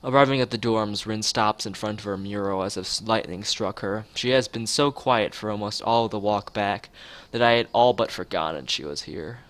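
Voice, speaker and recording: A man's voice: fairly low pitch, normal speed, few pauses, fairly bright tone. A man live streaming, mixing joking, mock-formal commentary with reading story text aloud from a game. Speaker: streamer playing a visual novel.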